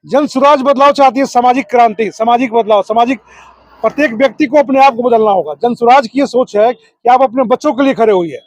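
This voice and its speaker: High-pitched man, oratorical. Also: a little fast, no room sound. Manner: oratorical, repeats phrases in lists. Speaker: political activist, district committee member. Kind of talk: political interview answers.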